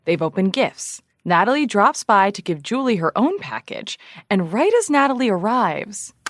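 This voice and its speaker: A woman's voice: medium pitch, very animated, moderate pace, dry recording, studio quality. A woman playing a self-important true-crime podcast host, earnest and easily irked, with a polished, dramatic delivery. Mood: earnest, probing. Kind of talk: scripted true-crime podcast narration and interviewing.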